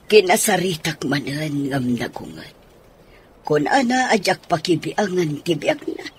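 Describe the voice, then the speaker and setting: A woman's voice: low-pitched, expressive, measured pace. A woman with an Ilocano accent acting as an older, sympathetic mother-in-law who is indignant at her son, in a radio drama. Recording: noticeable background noise.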